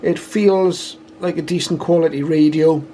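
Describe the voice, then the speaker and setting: A man giving a casual, low-key first-look commentary on a piece of equipment, speaking hesitantly and without hurry. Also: steady tone, a little slow, warm tone. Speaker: radio hobbyist reviewer. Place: conservatory, later a home radio room. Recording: clearly noisy home recording.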